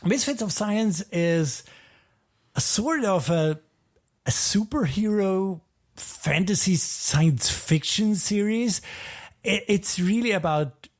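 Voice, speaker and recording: A man with a somewhat high voice speaks as one of a podcast's hosts. His delivery is casual and conversational, as in an informal chat with a fellow host. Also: expressive, takes it slowly.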